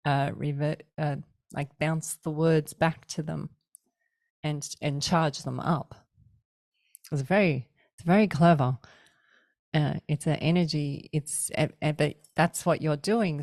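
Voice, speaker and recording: Low-voiced, slow, reflective woman. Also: dark tone, no room sound. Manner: reflective, searching for words. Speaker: guest. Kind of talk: casual spiritual conversation.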